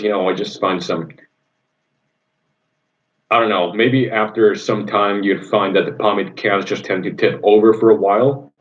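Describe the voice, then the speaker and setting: A young man from Indonesia hosts a casual livestream, answering viewers in a rambling, enthusiastic way with plenty of ums. His voice sits fairly low, and the recording sounds dull and muffled.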